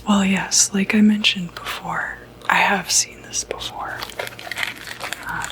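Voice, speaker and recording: A woman whispering breathily in an ASMR medical roleplay, playing a reassuring doctor with a hesitant, gentle bedside manner. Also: medium pitch, steady tone, slow pace, low-quality recording. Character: calm, reassuring doctor who treats demonic possession.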